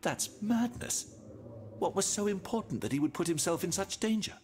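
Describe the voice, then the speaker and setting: Flustered astronomer gent for a game, voice jumps up n down, noisy behind. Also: male voice, high-pitched, animated, moderate pace, darkish tone. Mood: flustered, incredulous. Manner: fussy, exclamatory. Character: flustered, incredulous learned French astronomer gentleman. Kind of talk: video game cutscene acting.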